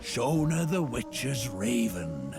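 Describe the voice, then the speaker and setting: A man voicing a slow, rambling talking tree in a video game cutscene. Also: mid-range voice, sing-song. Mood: slow, friendly. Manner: hesitant, rambling. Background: game music.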